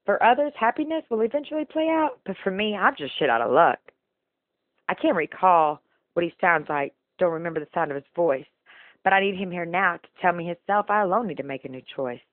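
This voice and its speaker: A woman's voice: lowish voice, expressive, brisk, dry recording, narrow, tinny audio. A woman performing spoken-word slam poetry in an emotional, rhythmic, rhyming delivery. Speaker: slam poet.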